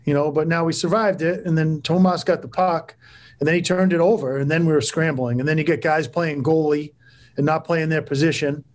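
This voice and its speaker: A man's voice: a little high, steady tone, brisk. Plain-spoken man coaching on a video call. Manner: plain-spoken, frequent restarts and fillers. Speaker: hockey coach. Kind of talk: postgame press conference answers. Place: office with cinder-block walls. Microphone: laptop video call.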